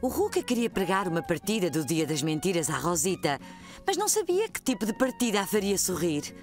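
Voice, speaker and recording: Uma mulher com voz cantada e expressiva narra para crianças, calma e clara. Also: female voice, on the low side, a little fast, music in the background. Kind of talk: storybook narration.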